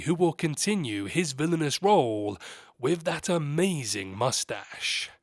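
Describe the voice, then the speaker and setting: A man hosts a scripted entertainment news piece in narration style. His voice sits a little higher than average, and the sound is dry with hardly any room on it. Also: dry recording. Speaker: host of a movie news channel.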